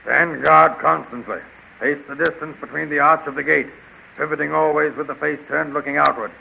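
Palace guard, slightly high, heavily creaky voice, clipped military deference, noisy vintage radio serial. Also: male voice, fairly even intonation, moderate pace, sharp tone, exaggerated voice. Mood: formal, stiff. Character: dutiful, obedient palace guard serving a king. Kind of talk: 1930s radio adventure serial acting. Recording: muffled, very noisy 1930s radio recording.

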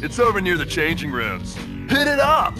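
A cocky, laid-back young surfer dude, high for a male voice, drawling slang as a cartoonish game shopkeeper. Loud music plays behind him. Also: huge pitch swings, moderate pace. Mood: laid-back, cool. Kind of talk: cartoonish video game voice acting.

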